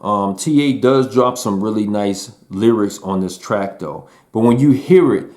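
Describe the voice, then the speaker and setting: Casual male host for a music review, low-pitched, relaxed slangy delivery with fillers. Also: measured pace. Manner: laid-back slangy talk, lots of "man" and "um". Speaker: album reviewer.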